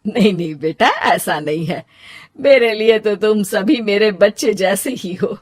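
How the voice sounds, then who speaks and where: A woman voicing a kindly older mother-in-law in an animated moral story, affectionate and coaxing. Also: mid-range voice, measured pace, no room sound, clean studio sound, natural voice. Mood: gentle.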